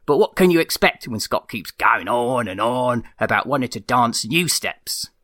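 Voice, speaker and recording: Man doing a mock-serious newsreader, folksy reporter bloke, lively bright tone, dry close sound. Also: mid-range voice, normal speed, dry recording. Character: folksy male dance-news reporter, slang-heavy.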